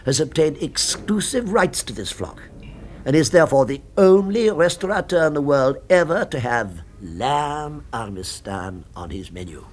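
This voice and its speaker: High-voiced, pompous gourmet. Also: male voice, very animated, moderate pace. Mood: confiding, grave. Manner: formal, pompous, precise diction. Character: solitary, fussy male gourmet, solemn and devoted. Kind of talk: 1970s radio drama acting. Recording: 1970s radio recording with background noise.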